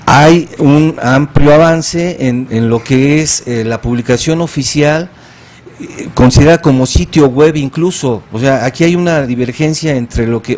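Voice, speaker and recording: Measured man speaking on a panel. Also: mid-range voice, a little mellow. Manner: measured, thoughtful, many filler pauses. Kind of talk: academic panel discussion. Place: conference hall.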